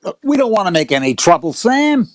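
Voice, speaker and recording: An actor playing an amiable police detective in an old-time radio drama, colloquial and coaxing. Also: male voice, sits high, sing-song, a little fast. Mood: pleading. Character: friendly, pleading male police detective, eager to keep peace. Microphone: video call.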